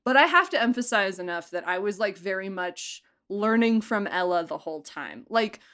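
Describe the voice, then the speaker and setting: A woman's voice: mid-range voice, animated, moderate pace, a bit bright, smooth. A woman chatting casually and effusively as a podcast guest, talking as a producer and director and often breaking into laughter. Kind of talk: casual behind-the-scenes interview chat.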